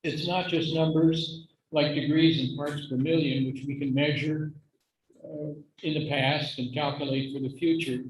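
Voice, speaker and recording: A middle-aged or older man giving an informal, explanatory talk to a congregation in an earnest, measured way. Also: fairly high pitch, steady tone, a little hiss or hum, low-quality recording. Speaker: guest speaker at a congregation service.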